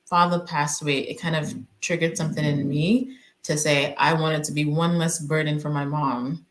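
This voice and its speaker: Low-voiced reflective woman guest. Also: level tone, normal speed. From Nigeria. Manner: reflective, hesitant, with frequent fillers. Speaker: physician guest. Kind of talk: conversational interview.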